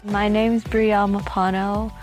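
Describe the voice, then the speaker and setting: A woman's voice: medium pitch, level tone, normal speed, darkish tone. Cheerful young woman golfer. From Australia. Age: young adult. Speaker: college golfer. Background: music.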